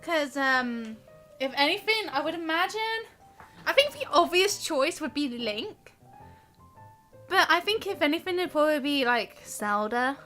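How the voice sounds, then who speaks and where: Giggly girl streamer, high bright voice, rambling casually over faint game music. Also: big pitch swings, measured pace. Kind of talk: casual live game commentary.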